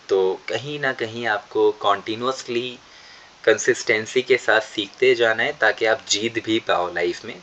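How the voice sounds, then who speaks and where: A man with an Indian English accent teaching an online spoken English class, saying model English sentences slowly and deliberately. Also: mid-range voice, a bit bright, highs cut off, a little hiss or hum. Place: online session.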